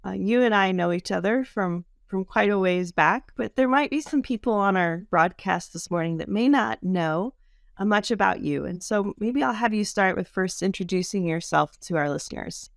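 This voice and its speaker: A woman's voice: mid-range voice, moderate pace, gravelly, no room sound, studio quality. A woman hosting a podcast, introducing her guest in a friendly, easygoing way. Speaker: podcast host. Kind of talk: podcast interview.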